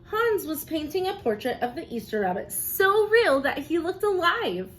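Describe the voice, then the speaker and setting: A woman teacher reading a picture book aloud expressively, pausing to encourage young listeners with questions and praise. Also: very high-pitched, very animated, fairly bright tone.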